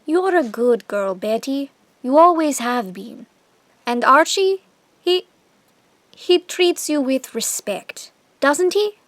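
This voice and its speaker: A woman playing a fragile, loving, worried middle-aged mother in an audio drama, halting and near tears. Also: sits high, very animated, dry recording, full character voice. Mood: tearful, tender. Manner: halting, hesitant.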